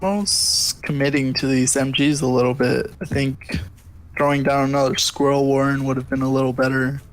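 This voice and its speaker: A man's voice: medium pitch, fairly even intonation, moderate pace, rough recording. A man as guest co-caster, giving laid-back analytical color commentary on a live game.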